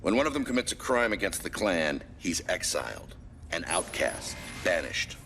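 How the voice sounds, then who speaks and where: An actor playing a stern military commander in a video game, giving formal, measured and authoritative mission briefings. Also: male voice, low voice, sing-song, vocal fry, a bit bright, slight background noise, exaggerated voice. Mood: grave, authoritative. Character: stern male military commander briefing a special forces soldier. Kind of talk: scripted game cutscene acting and mission guidance.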